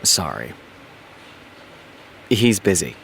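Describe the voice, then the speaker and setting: An actor playing a brooding male TV showrunner in an audio drama, halting and understated as he voices his doubts. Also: low voice, very animated, creaky, fairly bright tone, slight background noise, heavily acted. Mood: evasive, subdued. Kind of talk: naturalistic audio drama dialogue.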